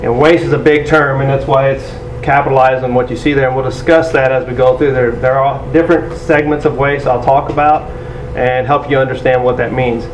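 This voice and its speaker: A man in his forties giving a loud, energetic, self-deprecating presentation to a conference audience. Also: medium pitch, a little fast. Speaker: conference speaker. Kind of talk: presentation talk. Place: large conference room. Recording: echoey room from far off, noisy.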